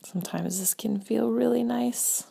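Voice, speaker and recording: A woman leading a guided meditation in a gentle, spaced-out way, her soft breathy voice often trailing toward a whisper. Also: a little high. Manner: slow, gentle, spaced-out phrasing with long pauses.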